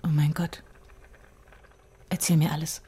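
An actress playing a sharp, caring middle-aged wife in a radio drama, speaking in a dry, probing, intimate way. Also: female voice, deep voice, little pitch movement, unhurried, husky, exaggerated voice. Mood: concerned, probing. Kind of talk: naturalistic radio drama acting.

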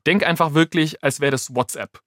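A man explaining things casually as an expert guest, informal and sometimes halting as he thinks aloud. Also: a little high, fairly bright tone, no room sound, studio quality.

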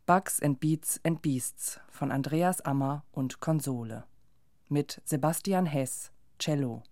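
A woman's voice: sits low, slow, dark tone, no room sound, studio quality. A woman announcer reading scripted radio credits.

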